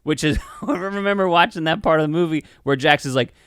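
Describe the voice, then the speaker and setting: A man's voice: fairly high pitch, fairly quick, fairly bright tone, clean tone, no room sound, studio quality. A man co-hosting a casual film review podcast, rambling and swearing as he acts out mock bits of dialogue. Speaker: podcast co-host.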